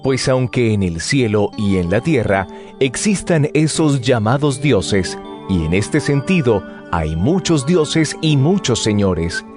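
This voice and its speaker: Apóstol solemne, con música. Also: male voice, medium pitch. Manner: measured, solemn, didactic. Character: solemn male apostle teaching believers. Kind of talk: dramatized scripture reading. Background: background music.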